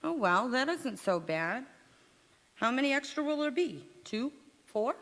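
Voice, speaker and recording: A woman's voice: high voice, sing-song, measured pace, a bit bright, full character voice. A woman playing a feisty, sarcastic housewife in 1940s radio comedy style, with dry, wisecracking retorts. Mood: flustered. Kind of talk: 1940s radio comedy acting, staged revival. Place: community theatre stage in a church. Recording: echoey hall, long reverb.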